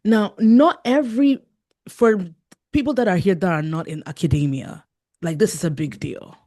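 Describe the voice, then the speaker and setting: A woman hosting an interview, encouraging and quick to affirm her guest. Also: medium pitch, mellow. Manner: encouraging, frequent affirming murmurs. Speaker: podcast host. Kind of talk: interview conversation.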